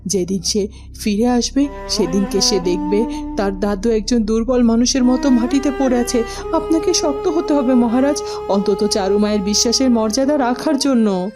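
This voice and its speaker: A woman's voice: fairly high pitch, moderate pace, over music, natural voice. A woman playing a firm, loyal lady earnestly and respectfully counselling a king in a cartoon. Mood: urging, earnest.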